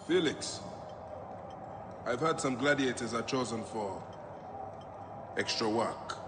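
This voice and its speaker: An actor playing a grim, determined Egyptian warrior and gladiator in a video game, speaking with earnest intensity. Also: male voice, mid-range voice, slow, noisy background, lightly acted. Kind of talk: dramatic video game voice acting.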